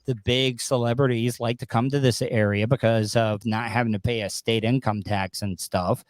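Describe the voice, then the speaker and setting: Male podcast host, forties, mid-pitched, casual and halting, close-miked in a dry room. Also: fairly even intonation, no echo. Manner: rambling, halting delivery. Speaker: podcast host interviewing a guest. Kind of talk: casual interview. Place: small home studio with acoustic foam. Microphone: close studio microphone on a boom arm.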